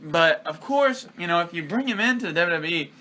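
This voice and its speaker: A man trades blunt, laid-back takes on a wrestling podcast, padding his lines with fillers. His voice sits a bit high and has a very sharp, bright edge. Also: expressive, fairly quick. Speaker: podcast co-host. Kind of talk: casual wrestling review chat.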